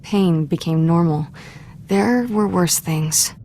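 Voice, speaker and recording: A woman's voice: lowish voice, takes it slowly, mellow, slight background noise. A woman playing a defiant, wounded young Jedi apprentice in a video game, candid and earnest. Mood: haunted confession. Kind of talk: scripted video game dramatic acting.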